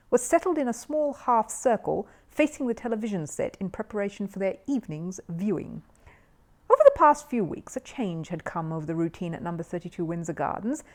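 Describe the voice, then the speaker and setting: A woman reading a children's story aloud as an expressive audiobook narrator. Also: mid-range voice.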